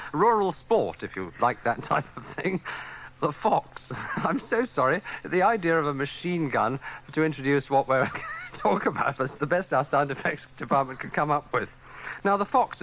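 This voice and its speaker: A man's voice: a little high, a bit bright. A man presenting a scripted radio news feature in a wry, arch, wordy way. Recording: faintly noisy archive radio recording.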